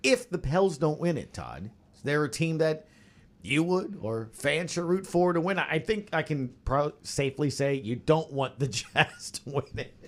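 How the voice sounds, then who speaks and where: A man's voice: on the high side, lively intonation, moderate pace. A man hosting a sports radio roundtable, chatting casually in short clipped phrases.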